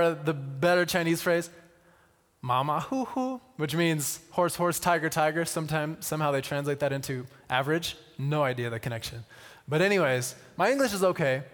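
A man giving a conversational sermon with personal stories, using deliberate pauses and repetition and touches of wry humour. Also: on the high side, a bit bright. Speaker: missionary speaker. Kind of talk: sermon with personal storytelling to an audience. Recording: reverberant hall.

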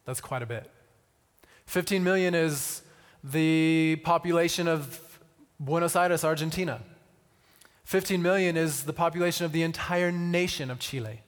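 A man giving a conversational sermon with personal stories, using deliberate pauses and repetition and touches of wry humour. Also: a little high. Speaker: missionary speaker. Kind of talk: sermon with personal storytelling to an audience. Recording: reverberant hall.

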